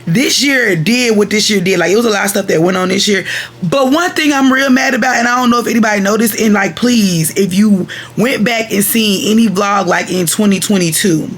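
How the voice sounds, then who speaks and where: A woman's voice: medium pitch, a little fast. A woman, chatting at home at her vanity in a rambling, chatty way, speaking straight to her viewers. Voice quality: raspy. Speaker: vlogger. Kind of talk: casual chat to viewers.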